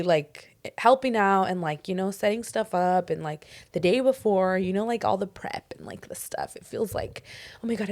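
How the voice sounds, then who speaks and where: A young woman co-hosting a podcast in casual chat, talking in a hoarse voice that is still recovering, full of "like" and "dude.". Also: mid-range voice, darkish tone. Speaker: podcast co-host.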